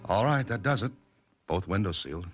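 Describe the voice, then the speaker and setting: A very deep, low voice: a dry, wisecracking private eye from a 1940s radio play. He snaps out short orders and tosses off jokes without missing a beat. Faint music sits somewhere underneath. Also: very animated, a little fast. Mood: instructive. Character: wry, brisk male private detective, adult. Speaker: private detective and narrator. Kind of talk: 1940s radio drama acting.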